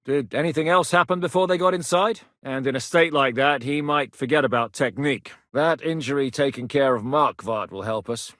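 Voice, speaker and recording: A man's voice: mid-range voice, normal speed, few pauses, fairly bright tone, no room sound, lightly acted. An actor playing a grizzled male war captain in a medieval video game, blunt, commanding and dryly sardonic, recorded in a studio booth. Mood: stern. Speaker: mercenary leader in a medieval game.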